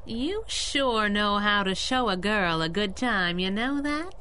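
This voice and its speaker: A woman's voice: mid-range voice, expressive, slow, sharp tone, a little hiss or hum. An actress playing a sassy, loyal young female sidekick in a 1930s-style radio drama, wry and wisecracking. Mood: teasing.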